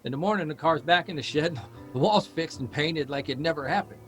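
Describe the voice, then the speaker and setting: An actor playing a restless, self-doubting male writer in a live audio drama, rambling and halting as he questions himself. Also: on the high side, soft background music, close to an everyday voice. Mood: wry, exasperated.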